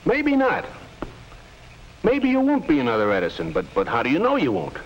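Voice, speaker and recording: A patient, middle-aged American dad from a 1950s classroom film. His delivery is earnest and instructive, with a very lively melody. The sound is muffled, with faint hiss. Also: on the high side, moderate pace. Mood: encouraging. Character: earnest, patient father advising his teenage children. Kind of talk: 1950s educational film acting.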